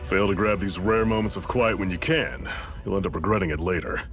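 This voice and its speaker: Man playing a gruff, wry rebel leader. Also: low-pitched, fairly quick, faint music behind, natural voice. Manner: wry, blunt. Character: world-weary, gruff male rebel leader, knowing mentor. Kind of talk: video game cutscene acting.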